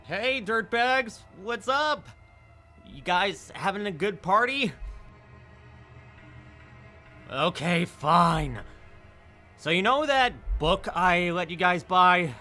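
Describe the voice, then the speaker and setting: A man's voice: sits high, lively intonation, slow pace, pushed and bright, soft background music, heavily acted. A man voicing a smug, sarcastic thief in a fan audio drama, with a sly mocking drawl.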